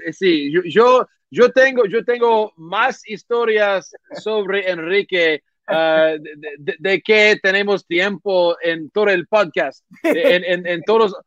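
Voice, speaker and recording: A man, a native English speaker, talking as a podcast guest in a second language, slow to find his words with many restarts and fillers. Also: high-pitched, a bit bright, dry recording. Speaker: podcast guest, baseball reporter. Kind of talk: casual interview talk in a second language. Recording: dull, dry remote audio.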